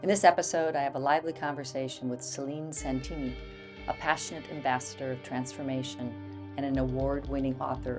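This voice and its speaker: A woman hosting a podcast, opening with a slow, measured scripted introduction. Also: a bass voice. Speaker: podcast host. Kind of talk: scripted intro and interview questions. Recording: music playing behind.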